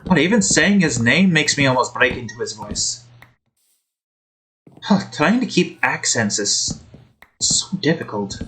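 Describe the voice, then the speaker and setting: A man's voice: medium pitch, animated, a little slow, pauses often, sharp tone, low-quality recording. A man hosting a game video with casual, rambling commentary in a put-on Scottish accent that wavers. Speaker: gaming video host. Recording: faint background noise.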